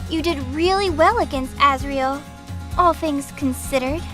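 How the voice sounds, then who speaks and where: A woman's voice: sits high, unhurried, music in the background. A woman playing an earnest, pious young gunslinger in an audio drama, with an eager manner. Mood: apologetic.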